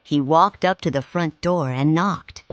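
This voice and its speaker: Slow, expressive woman reading a storybook. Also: low-pitched, lo-fi sound, noisy background. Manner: clear, measured, expressive. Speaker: storybook narrator. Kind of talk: slow read-along storytelling.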